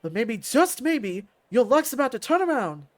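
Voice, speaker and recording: A man's voice: very high voice, big pitch swings, normal speed, pitched above the performer's own voice. A man voicing a sleazy, brash fight ring announcer who hypes up the bets in a visual novel story. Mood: hyped. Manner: brash showman hype.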